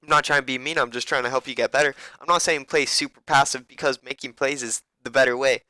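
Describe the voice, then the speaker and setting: A young man giving a loose, profane, rambling coaching review of a player's game, with a raspy voice. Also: medium pitch, steady tone, fast talker, sharp tone, dry recording, rough recording.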